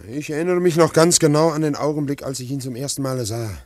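Ein Schauspieler spielt in einem Hörspiel einen früheren Sekretär, der sich nachdenklich und bedächtig erinnert. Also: male voice, on the high side, steady tone, a little mellow, no room sound, natural voice. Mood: reflective. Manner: reflective, measured recollection. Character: thoughtful male former secretary. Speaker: former secretary. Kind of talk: radio play acting.

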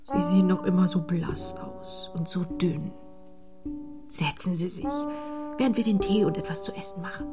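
A woman's voice: fairly low pitch, normal speed, close to an everyday voice. An actress playing a kindly, hospitable young sister of a clergyman in a German radio play, speaking in a caring, informal manner. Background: loud plucked guitar music.

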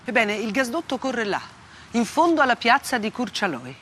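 A woman's voice: fairly high pitch, big pitch swings, background noise. Weary, defiant woman journalist. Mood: grave, descriptive. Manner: deliberate, clipped short phrases with emphatic repetition. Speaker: actress in a theatrical monologue. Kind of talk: dramatic theatre monologue, first-person testimony.

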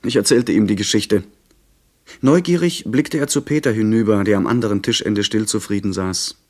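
An actor playing an earnest, polite young gentleman adventurer in a 1970s German radio drama. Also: fairly low pitch, moderate pace, lightly acted. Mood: measured, narrating.

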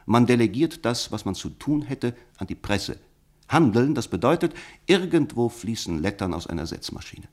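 A sardonic, animated cynic. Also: male voice, medium pitch, moderate pace. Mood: lecturing, insistent. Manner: sardonic, rhetorical, pointed questions. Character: worldly cynical man, probing and lecturing his friend. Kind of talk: German radio play dialogue.

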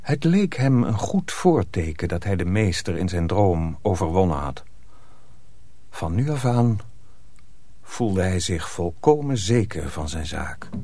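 A man narrating a dark folk tale for a radio drama in a measured, serious manner. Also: low-pitched, big pitch swings, a little hiss or hum, exaggerated voice. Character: sober male storyteller of a dark folk tale.

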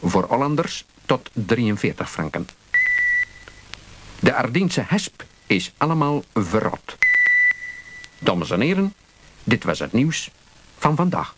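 An actor with a Flemish accent playing a solemn, deadpan newsreader in a parody radio bulletin. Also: male voice, medium pitch, slow, long pauses. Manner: deadpan, formal. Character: solemn male newsreader delivering absurd news. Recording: very noisy, crackly radio recording.

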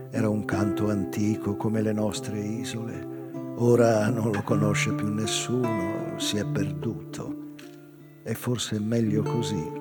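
An actor playing a melancholy old whaler in a measured, reflective theatrical monologue about his life. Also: mid-range voice, natural voice. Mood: wistful. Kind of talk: literary dramatic monologue. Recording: reverberant stage hall, guitar music behind. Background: live guitar music.

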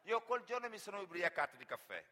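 Animated storyteller, bright forceful male voice. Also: sits high, fast talker. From Senegal. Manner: animated, acts out dialogue, addresses the audience. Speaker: actor and griot storyteller. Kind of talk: theatrical autobiographical monologue.

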